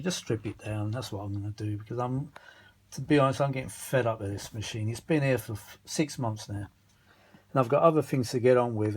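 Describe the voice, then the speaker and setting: A man's voice: medium pitch, darkish tone, breathy edge. Tired, grumbling British repairman. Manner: rambling, searching for words, muttering asides; grumbling, mildly sweary, exasperated. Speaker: vintage audio repair vlogger. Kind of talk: casual unscripted workbench repair commentary.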